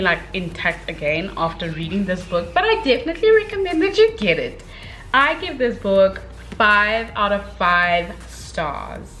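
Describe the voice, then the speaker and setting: Expressive young woman chatting casually. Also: mid-range voice. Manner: expressive, gushing, informal slangy delivery with dramatic emphasis. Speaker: book review vlogger. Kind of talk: casual chatty book review to camera.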